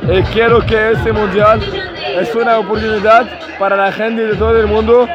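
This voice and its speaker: A young man from Tunisia, not a native Spanish speaker, talking excitedly in halting Spanish with restarts during a street interview at a fan festival. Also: high-pitched, dull audio. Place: outdoor fan festival with crowd. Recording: loud crowd and music around.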